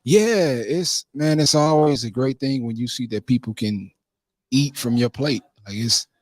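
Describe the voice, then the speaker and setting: Male interviewee, mid-pitched, informal and conversational playwright-producer, recorded dry. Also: unhurried, a little mellow, no echo. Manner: informal, punctuated with "man" and "you know". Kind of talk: casual backstage interview.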